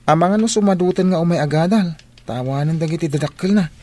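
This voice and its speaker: A man's voice: fairly high pitch, quick pace, warm tone, natural voice. A man playing a frank, exclamatory and encouraging school friend in an Ilocano radio drama. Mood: brisk, teasing.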